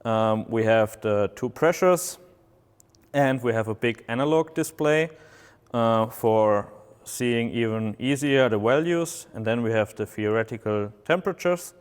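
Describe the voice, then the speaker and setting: A man with German as his first language, presenting a product demonstration slowly and haltingly, with many filler sounds. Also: medium pitch, clean tone, big-room sound.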